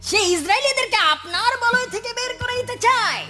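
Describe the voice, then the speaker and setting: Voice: very high voice, expressive, brisk, loud music behind the voice. An actor's cartoon performance of a vain, scheming rich man who is boastful and fawning, with theatrical swoops from mutters to cries. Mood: fawning, sly. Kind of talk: dramatic Bengali cartoon voice acting.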